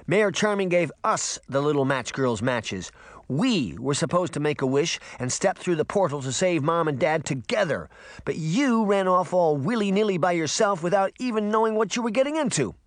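A man's voice: a little high, normal speed, no echo, pitched above the performer's own voice, close to an everyday voice. A man voicing an earnest seven-year-old girl in an audiobook, indignant and given to lecturing. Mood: stern, scolding. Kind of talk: audiobook character acting.

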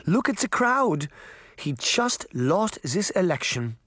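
A man's voice: sits high, very animated, slow, fairly bright tone, husky, dry recording, clean studio sound, pitched above the performer's own voice, heavily acted. A man voicing an elderly, wise and anxious grandmother in a storybook, earnest and lamenting. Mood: knowing.

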